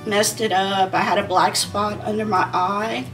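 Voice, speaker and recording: A woman in her forties talks through her makeup in a loose, rambling way, as if chatting with a friend. Her voice is a little hoarse from a sinus problem. She sits a short distance from the mic, with faint music playing behind her. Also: medium pitch, steady tone, measured pace, fairly bright tone. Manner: rambling and conversational, talking while applying makeup. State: losing her voice from a sinus issue. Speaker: beauty vlogger. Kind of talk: casual chatty makeup tutorial.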